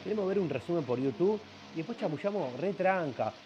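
A man's voice: on the high side, expressive, fast, a little mellow, lo-fi sound, slight background noise, natural voice. An actor with an Argentine Rioplatense accent playing a pompous, easily exasperated film expert who hosts a comedic radio show, switching between formal and slangy. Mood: slangy, conspiratorial. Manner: formal presenting that slips into slangy grumbling.

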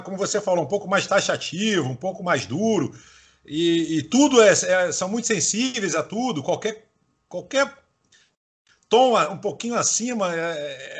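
A man's voice: sits high, lively intonation, normal speed, husky. A man from Salvador, a university professor co-hosting, who talks casually and hesitates a lot. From Salvador, Bahia, Brazil. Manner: hesitant, many fillers. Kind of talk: casual interview chat.

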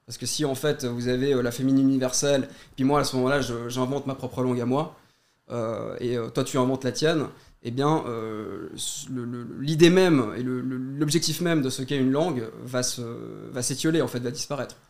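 Man, video-maker guest, firm debater, mid pitch, restarts often. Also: fairly even intonation, a little mellow. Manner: argumentative, measured, stammers on restarts. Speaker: YouTuber guest.

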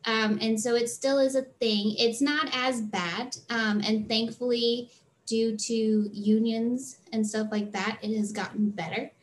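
A woman with a slightly high-pitched voice, a freelance theater tour manager answering interview questions in a chatty, earnest way with many fillers. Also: steady tone, unhurried, a little hiss or hum, low-quality recording.

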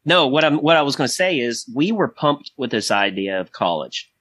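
A man's voice: medium pitch, sing-song, moderate pace, fairly bright tone. A middle-aged man in education talking casually on a podcast, opinionated and driving points home with repetition. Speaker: high school educator.

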